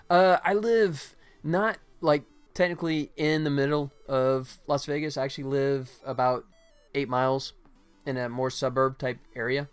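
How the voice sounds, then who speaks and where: A man's voice: mid-range voice, a little slow, clear, resonant tone. Casual American man thinking aloud. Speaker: livestream host, game developer. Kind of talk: casual livestream chat while working. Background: music.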